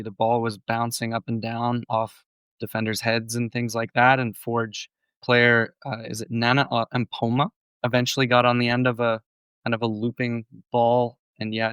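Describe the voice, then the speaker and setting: A man's voice: medium pitch, a bit bright, smooth, dry recording. A man, co-hosting a casual sports podcast and breaking down match plays, still getting over a cold. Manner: measured, thoughtful match analysis. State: recovering from a cold, voice on the mend. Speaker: podcast co-host.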